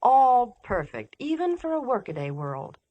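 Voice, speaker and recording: A woman's voice: a little high, sing-song, a little slow, lo-fi sound. A woman delivering scripted 1950s radio advertising chat as a polished, enthusiastic sponsor spokeswoman.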